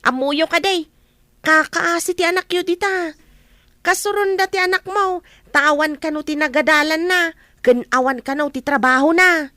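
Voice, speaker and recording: A woman playing a talkative, indignant village woman in an Ilocano radio drama, animated and exclaiming. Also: very high-pitched, moderate pace, dry recording, clean studio sound. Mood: surprised, prying.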